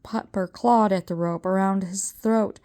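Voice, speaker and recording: A woman's voice: mid-range voice, steady tone, unhurried, mellow. An older woman reading a story aloud in a suspenseful, dramatic storytelling manner. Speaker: storybook reader. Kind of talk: read-aloud story narration.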